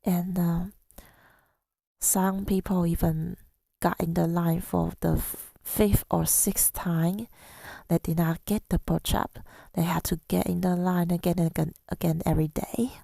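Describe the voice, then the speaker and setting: A woman telling a story in soft-spoken ASMR style, gentle and unhurried, slipping toward a breathy whisper, close to the microphone. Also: fairly low pitch, level tone, dry recording. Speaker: ASMR audio creator.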